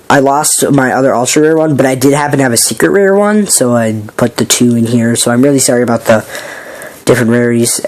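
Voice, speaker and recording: A man who is sick with a raspy voice, casually walking through his card game deck in a rambling, filler-heavy, apologetic way. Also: medium pitch, a little hiss or hum.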